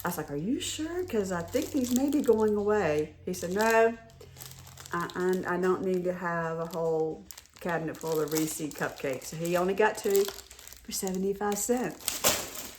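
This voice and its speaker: Hoarse, chatty woman. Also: on the low side, lively intonation, measured pace, a little hiss or hum. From North Carolina. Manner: chatty, rambling, with repeated restarts. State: hoarse from a head cold. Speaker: haul vlogger. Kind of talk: casual show-and-tell chat.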